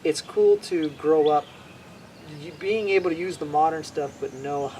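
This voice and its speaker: A man's voice: on the high side, measured pace, low-quality recording. A man from Hawaii talks about his craft in a loose, meandering way. He restarts often and sounds at ease. The recording carries a faint outdoor hum of birds and nature. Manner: relaxed, rambling with many restarts. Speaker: bushcraft instructor, guest. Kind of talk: casual interview chat.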